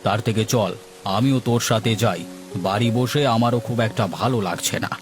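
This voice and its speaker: A man's voice: medium pitch, brisk, music in the background, natural voice. A man voicing a grumbling, food-loving village householder in a Bengali cartoon. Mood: musing.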